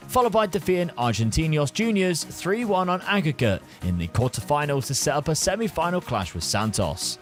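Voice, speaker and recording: A man's voice: medium pitch, a bit bright. A man hosting a football management game video in an informal, conversational way. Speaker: gaming video host. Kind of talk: scripted narration and casual chat over a football management game.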